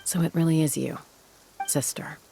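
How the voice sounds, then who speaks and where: A woman playing a cool-headed, serious young warrior in a video game, speaking in a measured, matter-of-fact way. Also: low-pitched, fairly even intonation, gravelly, warm tone, a little hiss or hum, poor audio. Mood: subdued.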